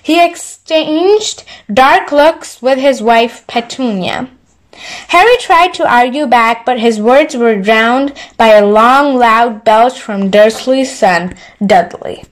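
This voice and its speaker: Very slow, high-voiced woman storyteller. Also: low-quality recording. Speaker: storyteller, channel host. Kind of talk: read-aloud bedtime story narration.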